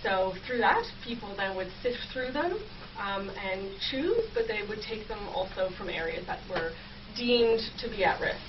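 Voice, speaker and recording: A woman's voice: medium pitch, very animated, normal speed, recorded from a distance. A young adult woman explaining her research informally as a guest in a radio interview. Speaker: guest researcher, history PhD student. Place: campus radio station. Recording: muffled, noisy, off-mic radio audio.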